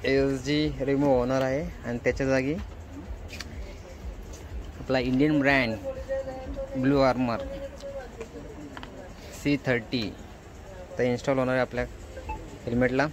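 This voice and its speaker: A man's voice: mid-range voice, level tone, measured pace, long pauses, a crowd in the background, rough recording. A man giving casual, informal vlog commentary, mixing in bits of English and Hindi. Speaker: vlogger host.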